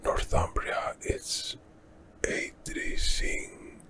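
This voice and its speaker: Dutch man, whispering, very slow, close mic, ASMR history guide. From Netherlands. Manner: soft unvoiced whisper, unhurried, thinking aloud with fillers. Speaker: ASMR history channel host. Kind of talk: whispered ASMR map walkthrough.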